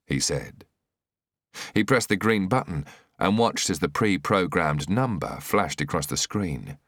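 A man's voice: low voice, fairly bright tone, dry recording, clean studio sound. A man narrating a scripted thriller audiobook in a dramatic storytelling style.

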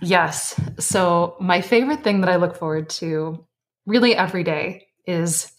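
A woman author and life coach, earnest and reflective as a guest, her voice slightly hoarse. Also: on the low side, a little slow. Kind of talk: casual interview chat.

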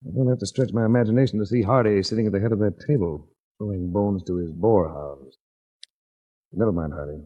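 Husky, low-voiced hardboiled radio investigator. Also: male voice, a little fast, warm tone. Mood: smooth, probing. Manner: dry, hardboiled. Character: cool, wisecracking male insurance investigator and adventurer. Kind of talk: 1940s radio detective acting and narration. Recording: muffled old 1940s radio recording.

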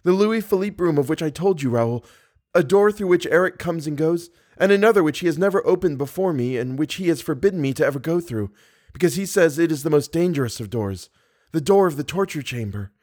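A man's voice: on the high side, lively intonation, a little fast, a little mellow, pitched above the performer's own voice. A man voicing a frightened captive young woman in an audiobook, breathless, urgent and pleading. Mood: anxious, straining.

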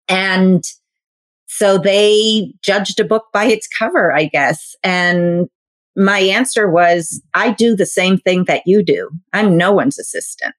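A woman's voice: fairly low pitch, no echo. A woman who founded a wealth management firm, answering interview questions in an earnest, reflective way. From United States. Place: Boston area.

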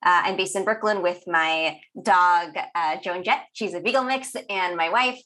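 A consultant from Staten Island with a New York accent, chatting relaxed and jokey as a guest on a video call panel. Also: male voice, high-pitched, normal speed.

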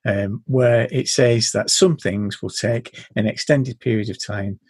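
A man hosting a podcast, talking in a dry, measured, deadpan way and reading game rules aloud. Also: lowish voice, slightly rough, no room sound. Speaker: podcast host.

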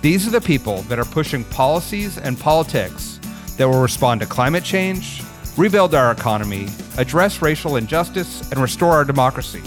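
A man's voice: medium pitch, moderate pace, over music. Friendly podcast co-host, man. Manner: friendly, scripted intro then relaxed chat. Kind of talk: podcast hosting.

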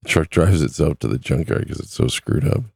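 A man's voice: a bass voice, expressive, creaky, darkish tone, breathy edge, no echo, studio quality. A man who is losing his voice, hosting a casual talk podcast in a chatty way. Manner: chatty, repeats numbers slowly. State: losing his voice, sore throat. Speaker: podcast host.

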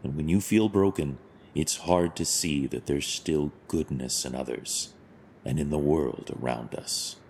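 An actor playing a stern but compassionate masked vigilante hero in an audio drama, speaking in a measured, formal, solemn way. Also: male voice, very deep voice, darkish tone, slight background noise. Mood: solemn, earnest.

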